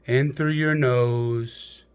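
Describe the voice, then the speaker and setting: A man narrating a guided meditation in a deliberate, measured storytelling manner with pauses. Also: mid-range voice, fairly even intonation, clear, resonant tone.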